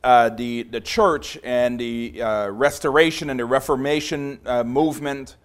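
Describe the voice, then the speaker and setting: A man in his forties from Trinidad, preaching to a congregation in a small room. He speaks with growing passion and a big voice, with many filler pauses. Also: mid-range voice, steady tone. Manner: passionate, grows louder as he preaches. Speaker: visiting preacher. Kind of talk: sermon.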